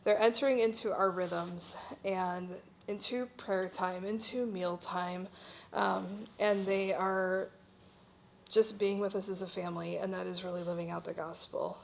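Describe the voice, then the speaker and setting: A woman's voice: medium pitch, steady tone, measured pace, gravelly, slight background noise. A middle-aged woman speaking as a missionary at a church breakout session, explaining things in an informal, conversational way. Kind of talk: informal conference presentation.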